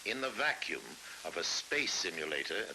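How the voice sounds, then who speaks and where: A middle-aged man narrating a scripted science documentary in a measured, dramatic way, with weighty pauses. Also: low voice, sing-song. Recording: hissy old film soundtrack.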